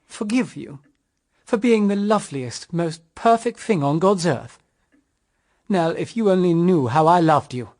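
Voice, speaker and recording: Ardent suitor, British accent, earnest, pleading, animated intonation, period radio drama. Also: male voice, high-pitched, a little slow. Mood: adoring. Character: ardent male suitor, earnest and persistent in love.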